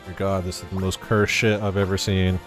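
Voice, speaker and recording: A man's voice: low voice, flat delivery, brisk, faint music behind. A man giving casual, irreverent and profane gameplay commentary in an amused way. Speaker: game streamer. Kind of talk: casual gameplay commentary, reading dialogue aloud.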